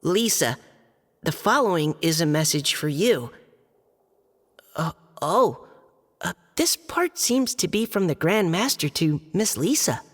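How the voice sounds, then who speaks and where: A man's voice: on the high side, big pitch swings, a bit bright, exaggerated voice. An actor playing an earnest young male knight who reads a formal letter aloud with care and halts when flustered. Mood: steady reading. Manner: careful, formal reading, halting when flustered. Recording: game cutscene with reverb and music.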